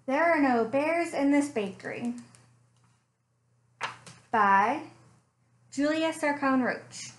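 A young woman reading a picture book aloud for children, slow and expressive with playful sound effects, recorded on a webcam in a small room at home. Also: sits high, slight background noise. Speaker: children's librarian. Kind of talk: storytime read-aloud.

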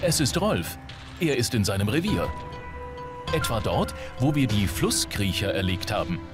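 An actor voicing a naive, earnest android in a video game, with formal, precise and guileless phrasing. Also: male voice, lowish voice, big pitch swings, normal speed. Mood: earnest, matter-of-fact. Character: earnest, naive male android learning humanity. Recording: background music. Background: game music.

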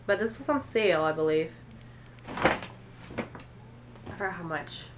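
A woman's voice: fairly low pitch, animated, measured pace, slight background noise. A woman in her twenties with an American accent, slightly congested from a cold, chatting casually to camera about her shopping. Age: 20s. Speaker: beauty and shopping vlogger. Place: indoor room at home.

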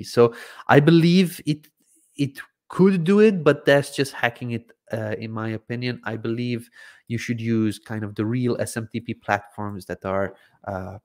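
Casting: man, 30s, from Croatia, native Hungarian speaker, relaxed hesitant live Q&A host, close desk mic. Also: on the low side. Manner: relaxed, hesitant with frequent ums and "I believe". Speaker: livestream Q&A host. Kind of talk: casual live Q&A answering viewer questions. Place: home studio, indoors, seated in gaming chair. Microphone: desk microphone in front of face.

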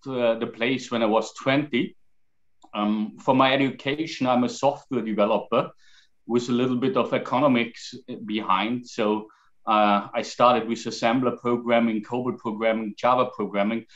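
A man's voice: mid-range voice, fairly bright tone. Austrian man on a dull video call. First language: German. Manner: gestures while talking, halting with fillers. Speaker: guest.

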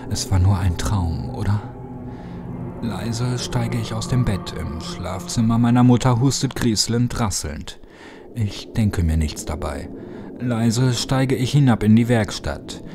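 A man's voice: medium pitch, a little slow. A man reading a first-person horror story as a dramatic, theatrical narrator. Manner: theatrical, drops into hushed whispers. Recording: music behind the voice. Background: music.

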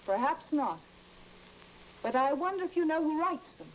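A woman's voice: high-pitched, big pitch swings, normal speed. A woman playing an anxious, suspicious young wife who is imperious with servants, in an old-time radio horror drama. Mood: accusing. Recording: dull old radio recording with hiss.

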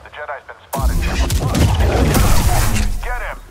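Casting: jumpy game enemy soldier, very animated pitch, heard under loud music. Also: male voice, slow. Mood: urgent. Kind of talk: video game combat barks.

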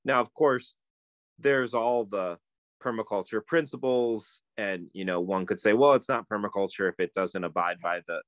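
Low-voiced man musing on a video call. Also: normal speed, no room sound. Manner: thoughtful, meandering, many fillers and restarts. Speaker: guest, permaculturist. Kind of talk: casual video-call conversation. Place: home room with bookshelves, indoors. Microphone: phone video call, close up.

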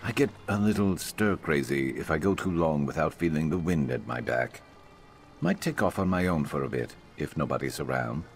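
An actor playing a gruff, world-weary adventurer companion in a fantasy game, with a blunt, dry, sardonic manner. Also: male voice, a bass voice, animated, normal speed, faint music behind. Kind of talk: scripted fantasy game voice acting.

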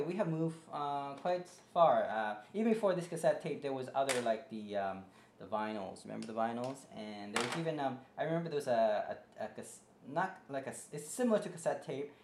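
A man's voice: mid-range voice, lively intonation, fairly quick, darkish tone. A man in his forties hosting a casual how-to tutorial at his home desk, rambling through fillers and restarts. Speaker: tutorial host. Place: home office desk, indoors. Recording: echoey room, faint background hiss.

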